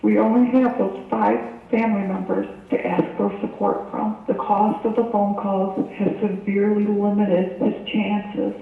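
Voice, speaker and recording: A parent delivers a prepared phone message in a flat, gravelly voice. It is thin and phone-like, replayed with heavy echo and some noise. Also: mid-range voice, a little slow, hardly any pauses, far from the mic. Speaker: caller, parent of a prisoner. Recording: tinny phone message replayed in echoey room.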